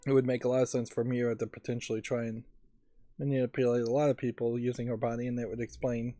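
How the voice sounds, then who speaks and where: A man's voice: mid-range voice, flat delivery, fast, dark tone, no room sound. A man giving casual, analytical commentary as he plays a video game, with the game's audio behind him. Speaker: let's play streamer. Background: game music and dialogue.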